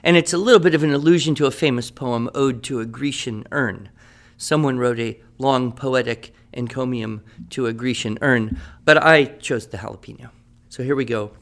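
A man's voice: mid-range voice, moderate pace, a bit bright. A man playing a writing teacher who leads a class in an upbeat, encouraging and wordy way. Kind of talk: scripted classroom radio drama.

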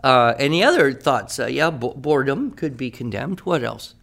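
A man's voice: medium pitch, expressive, normal speed, vocal fry, a bit bright. A man playing a writing teacher who leads a class in an upbeat, encouraging and wordy way. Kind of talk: scripted classroom radio drama.

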